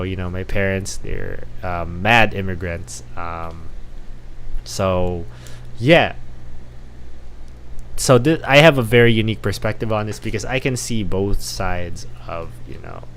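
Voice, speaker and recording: An American man with a fairly low voice talks casually on a livestream. He thinks aloud in slangy, halting phrases as he comments. A faint noise sits in the recording. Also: a bit bright, rough recording. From born in the Philippines, grew up in the United States. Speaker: streamer reacting to a video.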